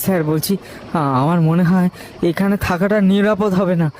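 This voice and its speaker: A man's voice: sits high, steady tone, normal speed, darkish tone, slight background noise, voice pushed up, natural voice. A man playing a nervous, cautious young boat driver who speaks hesitantly to his superior in a cartoon story. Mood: anxious pleading. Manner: hesitant, repeating himself.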